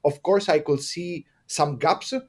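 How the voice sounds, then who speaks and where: Man, Italian accent, podcast guest, enthusiastic, halting pauses. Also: a little high. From Italy. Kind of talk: casual interview talk.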